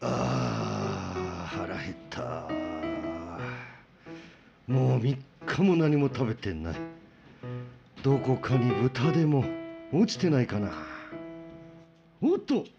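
A man playing a hungry, boastful, blustering wolf with rough, cocky speech in a children's radio drama. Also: mid-range voice, wildly swooping pitch, slow pace, long pauses, dull audio, over music, full character voice. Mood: weary, hungry, then menacing, excited.

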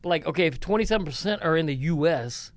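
A man's voice: fairly high pitch, expressive, quick pace, no room sound. A man from Alabama co-hosting a casual podcast, joking around, quoting movie lines and laughing easily. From Huntsville, Alabama, US. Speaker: podcast co-host. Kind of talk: casual banter.

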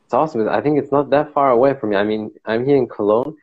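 A man's voice: mid-range voice, fast talker, narrow, tinny audio. A man hosting a podcast interview, asking questions in a friendly, chatty way with many fillers. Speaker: podcast host.

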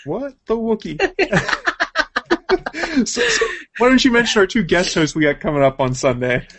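A man's voice: high-pitched, very animated, moderate pace, a bit bright. A man hosting a casual news chat show, talking in a jokey, informal way. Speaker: show host.

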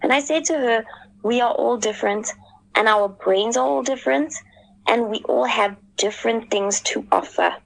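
A woman's voice: a little high, moderate pace, creaky, rough recording. A woman and mother speaking as a panelist in a casual podcast discussion, earnest and thoughtful, with many fillers.

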